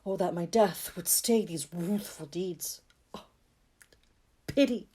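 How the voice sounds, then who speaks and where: Woman playing a mellow, sorrowful medieval king. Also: fairly low pitch, slow, husky. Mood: anguished grief. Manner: lamenting, poetic, exclamatory. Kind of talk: Shakespearean audio drama acting.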